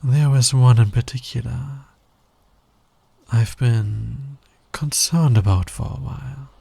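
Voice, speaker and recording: A man playing a sly, teasing, dominant fantasy villain in an intimate ASMR roleplay, breathy and husky, often near a whisper. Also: mid-range voice, dark tone, no room sound, heavily acted. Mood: flirtatious confession. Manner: slow, teasing, deliberate delivery. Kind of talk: intimate ASMR roleplay, romantic audio drama.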